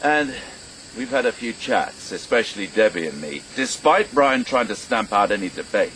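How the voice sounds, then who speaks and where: A middle-aged farmer's son in a radio soap, fairly low in pitch but very expressive. He is firm and earnest, with some hiss behind him. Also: moderate pace, poor audio. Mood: reassuring. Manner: earnest, emphatic. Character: firm, reassuring grown son in a farming family. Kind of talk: naturalistic British radio soap acting.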